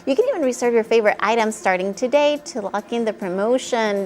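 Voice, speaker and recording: Casting: enthusiastic woman TV co-anchor, slightly high voice, faint music bed. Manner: upbeat, enthusiastic. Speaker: news co-anchor. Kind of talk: live TV news and sponsored read.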